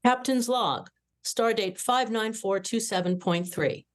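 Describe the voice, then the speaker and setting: A woman's voice: fairly high pitch, unhurried, close to an everyday voice. A woman playing a proud, formal starship captain dictating a log at a cartoon script table read.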